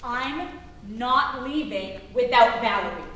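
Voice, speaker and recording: Distant in an echoey room, a woman plays a jailed wife standing her ground in a staged drama. She speaks slowly and firmly, resolute, in a fairly high voice. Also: expressive, fairly bright tone. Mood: defiant. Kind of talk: staged musical reading. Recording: distant mic, echoey room, faint noise.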